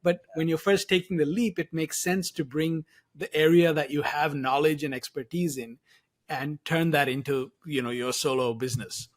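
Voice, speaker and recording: A man's voice: fairly high pitch, steady tone, normal speed. A man hosting a podcast, chatting casually and affirming his guest in an informal way. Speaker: podcast host. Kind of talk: casual interview chat.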